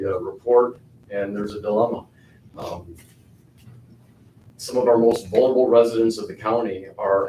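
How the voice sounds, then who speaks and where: An older man giving a halting public comment at a county board meeting, with many fillers and restarts. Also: lowish voice, darkish tone. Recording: echoey hall, far from the mic, faint noise.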